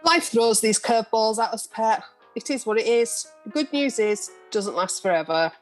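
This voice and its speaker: A woman's voice: a little high, animated, moderate pace, soft background music, natural voice, low-quality recording. A woman playing a breezy, bawdy middle-aged journalist in an amateur radio play, recorded over a video call. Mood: sympathetic, frank.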